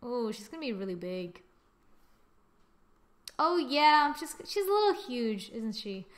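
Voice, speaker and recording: Woman doing a casual software walkthrough, kinda high voice, chatty and thinking out loud. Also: very animated, unhurried. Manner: informal, thinking aloud. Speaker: tutorial presenter. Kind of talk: casual software tutorial narration.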